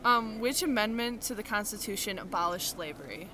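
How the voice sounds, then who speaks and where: A woman's voice: medium pitch, expressive, brisk. A young woman interviewing people in a casual quiz, asking questions in an informal, giggly way. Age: young adult. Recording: crowd chatter behind, on location.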